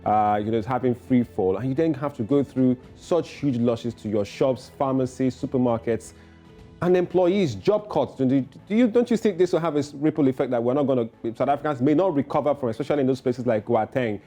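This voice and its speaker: A man's voice: mid-range voice, lively intonation, fairly quick, mellow, faint music behind. A man anchoring a morning news show, presenting with many fillers and hesitations.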